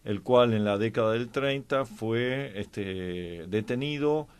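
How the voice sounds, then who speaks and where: A man with a mid-pitched voice and an Argentine Spanish accent talks through history in an informal discussion. He explains things haltingly, as a commentator, leaving drawn-out gaps while he finds his words. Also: clear, resonant tone.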